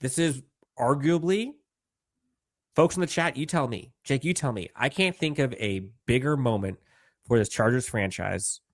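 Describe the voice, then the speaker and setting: A man co-hosting a sports podcast, animated and building long emphatic runs of points. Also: medium pitch, dry recording, clean studio sound. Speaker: podcast co-host. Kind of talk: excited sports podcast talk.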